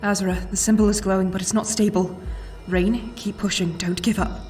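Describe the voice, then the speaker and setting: A woman acting a serious, probing investigator in an audio drama, with a breathy voice and a measured, insistent manner. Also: medium pitch, level tone, a little mellow, soft background music, close to an everyday voice. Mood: urgent.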